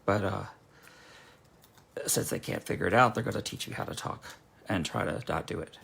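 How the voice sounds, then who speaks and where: A man demonstrating card making in a casual, rambling way, with a hoarse, sometimes nasal voice. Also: low-pitched, moderate pace, a bit bright. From North Carolina. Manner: chatty and rambling, frequent fillers and restarts.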